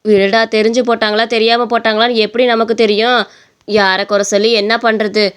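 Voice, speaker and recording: A woman's voice: a little high, flat delivery, brisk, clear, resonant tone, lightly acted. A woman voicing a kindly, motherly idli seller who soothes and chides, in a story. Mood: soothing. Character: kindly motherly idli seller, gently scolding her son.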